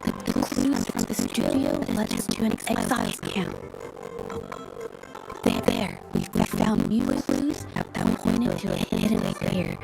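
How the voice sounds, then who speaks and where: An actress playing a timid, earnest young female investigator in a video game, with a halting, stammering delivery. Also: rumbling low, huge pitch swings, creaky, exaggerated voice, poor audio. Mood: hesitant, thoughtful. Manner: halting, stammers and repeats words. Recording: game music behind the voice. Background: game music.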